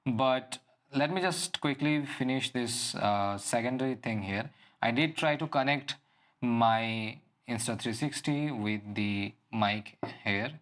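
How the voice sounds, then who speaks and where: A man giving an unscripted product walkthrough as a reviewer, explaining things in halting phrases. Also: medium pitch, fairly bright tone. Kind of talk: unscripted app and gadget walkthrough.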